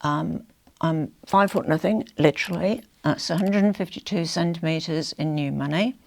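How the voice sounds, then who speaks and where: An older woman with a croaky, hoarse throat, chatting casually to camera as a fashion vlogger, rambling with confiding asides. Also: low voice, normal speed. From England.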